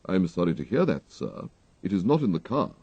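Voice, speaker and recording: Audiobook role: male reader as a discreetly sly English valet, formal, unflappable, low and slightly husky. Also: dry recording, muffled recording, full character voice. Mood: deferential, composed. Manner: formal, measured, deadpan.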